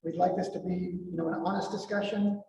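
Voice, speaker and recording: A man leading a community meeting, guiding the group in a halting, gentle way. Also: high voice, level tone, creaky, a little hiss or hum. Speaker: meeting facilitator. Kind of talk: public meeting discussion. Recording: echoey hall, voice from far off.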